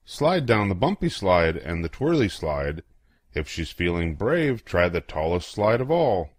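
An older man reading a children's picture book aloud in a playful, expressive way, acting out exclamations and questions. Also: low voice, unhurried, no echo, studio quality.

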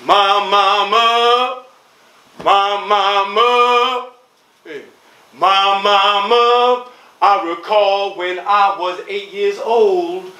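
A man's voice: sits high, steady tone, drawn-out words, sharp tone. A man performing a rhythmic spoken-word piece with a repeated refrain, acting out voices along the way. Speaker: playwright and performer. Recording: echoey hall.

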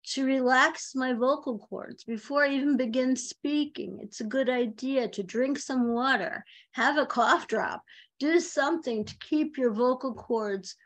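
Talkative woman coach in her 50s, high voice, lots of laughs, on a home video call. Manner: chatty and animated, laughs often. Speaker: communication coach. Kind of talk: conversational coaching advice. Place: home room, indoors. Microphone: video call webcam.

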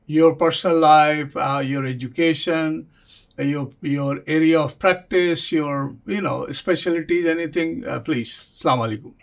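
Guy hosting a tv interview, kinda high voice, lots of uhs and restarts. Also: gravelly. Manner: hesitant, many fillers and restarts. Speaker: interviewer, TV host. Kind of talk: casual interview questions.

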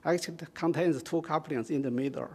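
A retired older man with a Chinese accent, halting and full of fillers, introducing and explaining a poem to a small audience. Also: fairly high pitch, warm tone, breathy edge. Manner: halting, with many fillers and restarts. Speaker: poetry reader. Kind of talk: informal reading and explanation at a community event. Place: small community room with an audience. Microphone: stand microphone.

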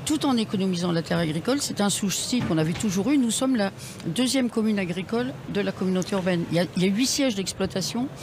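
A woman who is a mayor, answering a radio interview haltingly with many hesitations, at a trade fair stand. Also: mid-range voice, expressive. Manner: hesitant, many ums and restarts. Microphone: table radio mic with headphones. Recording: noisy trade fair hall.

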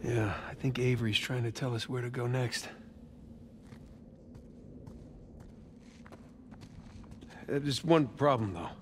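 A man's voice: medium pitch, fairly quick, close to an everyday voice. A man playing a wry, understated, adventurous American treasure hunter in a naturalistic video game performance. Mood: thoughtful. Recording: game ambience noise behind.

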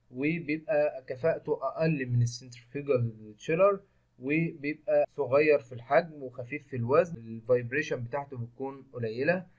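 Fast-talking Egyptian engineering lecturer. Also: male voice, mid-range voice, lo-fi sound. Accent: Egyptian Arabic. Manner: explains step by step, mixing English technical terms, with filled pauses. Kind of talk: screen-recorded technical lecture.

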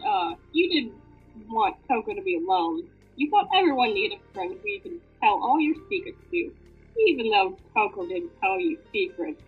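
Husky woman on thin, lo-fi audio. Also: on the high side. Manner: informal, sweary. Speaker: fan fiction reader and commentator. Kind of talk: casual read-aloud with joking asides.

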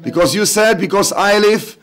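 High-voiced pastor preaching in short phrases. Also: male voice, fairly bright tone. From Poland. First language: Polish. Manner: short prayerful phrases, pausing for the interpreter. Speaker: guest pastor. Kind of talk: sermon and prayer in English, translated line by line. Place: church hall. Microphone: lectern mic.

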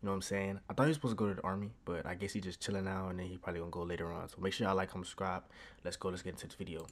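A man comments casually on a stream clip, slipping into slang and laughing along. He talks quickly in a fairly low voice. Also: steady tone. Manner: laid-back slang, laughs often.

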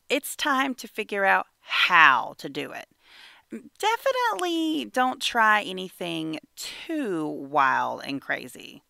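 Slow, lively woman reading a storybook. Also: lowish voice, gravelly, dry recording, studio quality. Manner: animated, measured storytelling. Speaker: storybook reader for children. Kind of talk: expressive children's read-aloud narration.

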